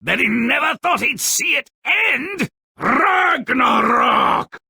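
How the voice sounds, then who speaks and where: A man voicing a gleefully nasty, sardonic gnomish narrator in a fairy-tale video game, with a raspy, gravelly voice and a theatrical, drawling delivery full of relish. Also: very high voice, animated, slow pace, pushed and bright, dry recording, full character voice. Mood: manic glee. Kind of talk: theatrical scripted storybook narration.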